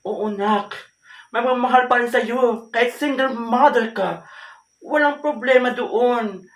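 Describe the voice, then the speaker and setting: A woman's voice: fairly high pitch, a little slow. Woman acting a fussing mother in an echoey room. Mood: reassuring. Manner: fussing, repeated questions and reassurances. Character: concerned, gently scolding, consoling mother. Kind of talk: amateur solo monologue acting. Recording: roomy, distant microphone, faint noise and clicks.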